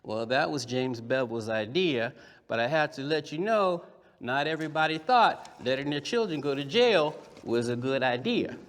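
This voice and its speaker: A man performs as a lively young boy in a puppet show, with a slightly high voice that swings widely in pitch. He tells his story emphatically, pausing for effect and speaking straight to young listeners. The sound rings with the long reverb of a hall. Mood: earnest. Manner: emphatic, deliberate pauses, conversational address to children.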